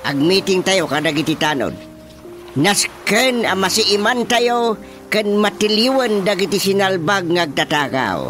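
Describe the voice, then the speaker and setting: A man's voice: sits high, measured pace, music in the background. A man playing a blustering, exclamatory village chairman in an Ilocano-accented radio comedy drama. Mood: indignant. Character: blustering male barangay chairman, quick to exclaim.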